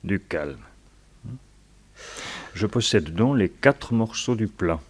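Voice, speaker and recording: An actor playing a calm, menacing villain in a French radio serial, suave and mock-polite in his measured delivery. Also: lowish voice, slightly rough, full character voice. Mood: smug, calm.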